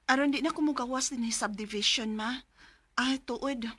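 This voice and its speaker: A woman's voice: sits high, moderate pace, a bit bright, breathy edge. An actress playing a frightened, trauma-shaken working mother in an emotional, plaintive radio drama style. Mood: tired. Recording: music bed under the voice.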